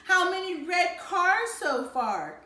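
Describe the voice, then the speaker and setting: Woman as little boy, smart-mouthed, sarcastic, taunting, swooping pitch, storybook reading, echo. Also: very animated, slow pace, sharp tone, heavily acted.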